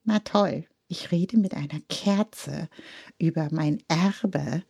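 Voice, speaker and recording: A woman's voice: medium pitch, expressive, slow, creaky, darkish tone, pitched above the performer's own voice, exaggerated voice. A woman doing a grumpy, sarcastic hen. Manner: sarcastic, grumbling. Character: grumpy sarcastic hen, young adult female.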